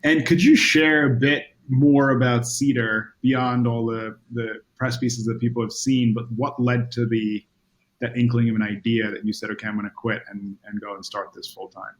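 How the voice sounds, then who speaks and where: A man hosting a video interview series, reading a sponsor note and asking questions in a quick, upbeat way with fillers. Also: mid-range voice, fairly even intonation, poor audio. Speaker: podcast host.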